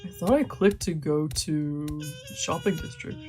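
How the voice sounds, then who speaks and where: A woman's voice: deep voice, expressive, measured pace, music in the background. A woman streaming a visual novel, reading its text aloud and joking playfully between lines, laughing and swearing casually.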